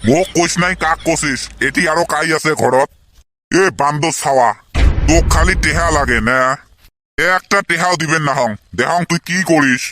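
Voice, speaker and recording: A man plays an older cartoon father, stingy and grumpy, in a fairly high male pitch. He scolds gruffly in a northern Bengal accent. Faint noise sits behind the voice. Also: moderate pace, sharp tone. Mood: angry, scolding. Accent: northern Bengal (Cooch Behar region). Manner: gruff, scolding, coarse-mouthed. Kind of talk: comedy cartoon voice acting in rural dialect.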